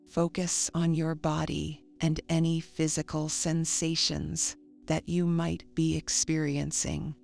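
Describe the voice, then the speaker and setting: A woman reading a scripted guided meditation in a calm, soothing, measured manner. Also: low-pitched, creaky. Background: faint music.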